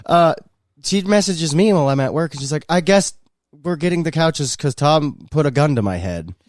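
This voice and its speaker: A man's voice: fairly high pitch, animated, normal speed, no room sound, studio quality. An American man co-hosting a podcast in casual, joking talk, with slangy swearing and some searching for words. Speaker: podcast co-host.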